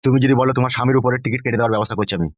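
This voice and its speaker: Man as bullying cartoon politician husband, very fast, blustering, dull lo-fi sound. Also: medium pitch, fairly even intonation, dry recording, lightly acted. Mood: possessive demanding. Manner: blustering, crude, exclaiming in repeats. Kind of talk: comic cartoon dialogue.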